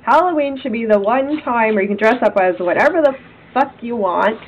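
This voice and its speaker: A woman with a raspy voice, co-hosting a casual podcast chat and talking in an emphatic, earnest way. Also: on the high side, fairly quick, muffled recording. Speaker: podcast co-host.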